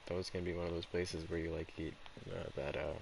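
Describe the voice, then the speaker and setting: A man giving casual, halting gameplay commentary in low-key muttered asides. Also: level tone, creaky, noisy background. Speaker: gameplay streamer. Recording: game music loud behind voice.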